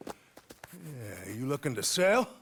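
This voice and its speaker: A man's voice: mid-range voice, big pitch swings, takes it slowly, vocal fry, slightly rough, heavily acted. A man playing a folksy, chatty Old West horse dealer in a video game, with an American accent. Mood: salesy. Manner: chatty, obliging, sales patter. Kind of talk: naturalistic video game acting.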